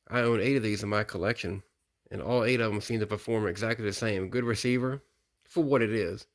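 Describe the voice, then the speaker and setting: A middle-aged American man casually showing off a piece from his collection, speaking in a plain, folksy way and often repeating himself. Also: fairly low pitch. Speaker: radio collector presenting a hobby video. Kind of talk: casual product showcase narration.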